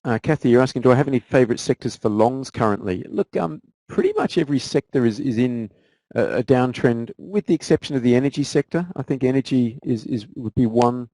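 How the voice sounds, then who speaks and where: A man's voice: medium pitch, fairly quick, mellow, no echo, poor audio. A man with an Australian accent, a guest trader answering viewer questions in a casual live Q&A, often repeating words as he restarts sentences.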